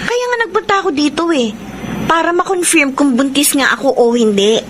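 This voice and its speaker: A defiant young Filipino woman in a radio drama. Her voice is very high, and she speaks as a headstrong daughter in love. Loud ambient noise runs behind her. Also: sing-song, a little fast. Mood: irritated.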